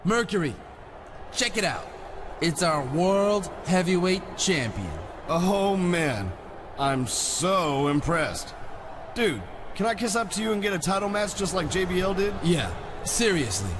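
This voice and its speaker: A sneering, mocking wrestler. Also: male voice, high voice, big pitch swings, measured pace, noisy background. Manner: mocking, sarcastic drawl. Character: mocking young male wrestler, sneering tag partner.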